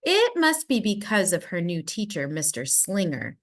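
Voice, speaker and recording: A woman's voice: on the low side, huge pitch swings, moderate pace. A woman voicing mild-mannered, puzzled mouse parents in a storybook, with an understated, wondering delivery.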